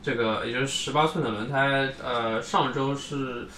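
A man's voice: medium pitch, fairly even intonation, normal speed, sharp tone. A man hosting a casual motor racing chat, steering the conversation from one topic to the next. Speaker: podcast host. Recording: roomy, distant mic, faint noise.

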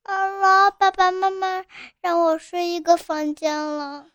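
A woman's voice: very high voice, monotone, a little slow, noisy background. A woman voicing a cheeky, stubborn small child with whiny, innocent-sounding cheek in a comic bedtime sketch.

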